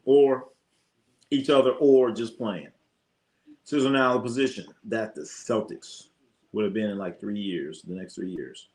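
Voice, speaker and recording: A man's voice: lowish voice, measured pace, darkish tone. An American man hosting a sports livestream in casual, slangy talk, giving blunt opinions and repeating phrases for emphasis. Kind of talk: casual live sports commentary, opinionated chat.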